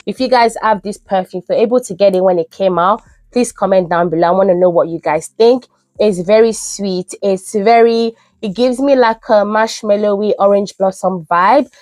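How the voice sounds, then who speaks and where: A woman's voice: medium pitch, moderate pace, darkish tone, dry recording. A young woman who sounds nasal from a cold, chatting enthusiastically as a beauty vlogger reviewing products. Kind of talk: casual product review vlog. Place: UK home.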